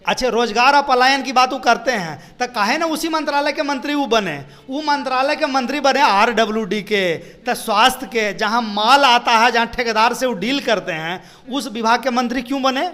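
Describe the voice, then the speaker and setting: A man with a Bihari accent speaks in a high-pitched voice as a political spokesperson. He argues his points in an interview style, repeating phrases for emphasis and throwing out rhetorical questions. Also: moderate pace, few pauses, sharp tone.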